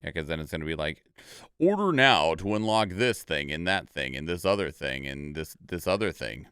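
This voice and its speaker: A man giving casual, informal reaction commentary as a host. His voice is clear and smooth with lively swings in pitch, recorded dry and close, and he tends to repeat words for emphasis. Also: normal speed, dry recording. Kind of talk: casual commentary on game trailers.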